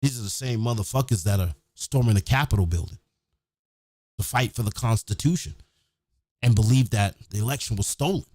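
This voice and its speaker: A man's voice: fairly low pitch, brisk, darkish tone, no echo. Profane, blunt man ranting, dry close sound. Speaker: host of his own show. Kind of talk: opinionated monologue, casual rant.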